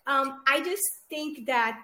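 A woman's voice: high-pitched, takes it slowly, sharp tone. A woman from Romania, co-hosting a casual interview over a video call and laughing often. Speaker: podcast co-host.

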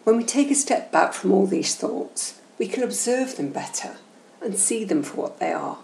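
A woman guiding a meditation session in a soothing, gentle, measured and instructional way. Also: medium pitch, expressive, creaky. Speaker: guided meditation host. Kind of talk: slow, soothing guided meditation narration. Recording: roomy echo, faint background noise.

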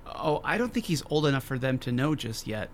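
An actor playing an earnest, tender-hearted advocate in an audio drama, talking in a chatty, expressive way. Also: male voice, medium pitch, fairly quick, slight background noise, close to an everyday voice. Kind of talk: naturalistic audio drama acting.

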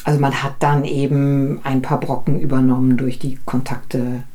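A woman from northern Germany co-hosting a language podcast, telling stories from home calmly with small restarts. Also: very deep voice, normal speed. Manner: calm, reflective storytelling with small restarts. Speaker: podcast co-host, business German teacher. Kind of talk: conversational podcast chat.

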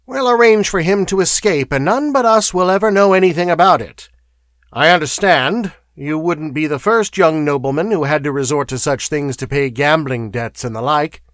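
A man voicing a scheming inquisitor who persuades in a quick, ingratiating way. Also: a little high, a bit bright, no room sound, clean studio sound, voice pushed up. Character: smooth, scheming male inquisitor.

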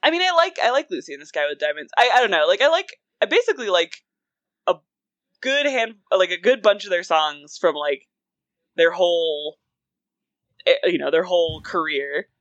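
A woman in her thirties talks as a podcast co-host in a bright, forward voice. Her delivery is casual and rambling, full of loose asides. The sound is dry and close. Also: on the low side, normal speed, no echo, muffled recording. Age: 30s. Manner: rambling, animated asides with frequent "like". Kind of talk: casual film and music chat.

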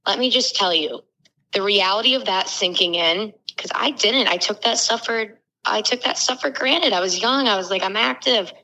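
A woman in her twenties from Florida, a podcast guest talking quickly, loudly and candidly, swearing freely. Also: mid-range voice, rough recording. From Florida, USA. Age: 20s. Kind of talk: casual interview talk.

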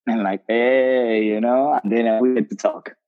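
A man's voice: medium pitch, fairly even intonation, unhurried. A man speaks earnestly on a panel, circling his point with lots of "you know" and "like". His voice sits in a middle register, and the call audio is dull and lo-fi. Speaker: rum brand ambassador. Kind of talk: panel discussion.

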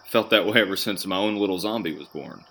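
A man's voice: sits low, brisk, bright, forceful tone, exaggerated voice. A man acting a friendly, cautious suburban father and neighbor in an audio drama.

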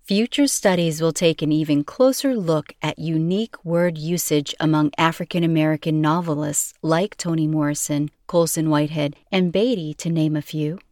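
A woman's voice: low-pitched, moderate pace, hardly any pauses, a little mellow, no room sound, clean studio sound. A woman reading scripted narration as the narrator of a podcast.

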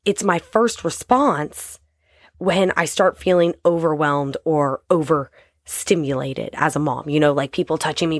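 A woman in her thirties with a low, raspy voice, hoarse from a cold as she hosts a short podcast. She speaks directly and encouragingly to her listeners. The sound is dry and close, with no room around it. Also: dry recording. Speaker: podcast host. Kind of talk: short motivational podcast talk.

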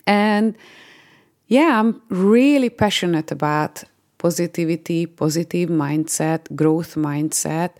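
A woman's voice: on the low side, a little mellow. A woman from Hungary with a Hungarian accent, hosting a solo podcast in an upbeat, earnest, motivational way. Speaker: podcast host. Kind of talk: unscripted solo podcast monologue.

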